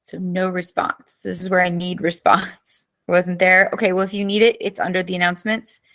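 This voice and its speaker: A woman's voice: on the low side, level tone, moderate pace, a bit bright. A woman in her thirties teaching a live online class, chatty and greeting students by name, with her voice cracking as she loses it.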